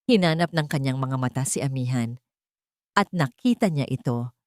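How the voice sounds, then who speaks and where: A woman's voice: very deep voice, expressive, moderate pace, no echo, studio quality. A woman with a Filipino accent narrating a scripted dramatic story.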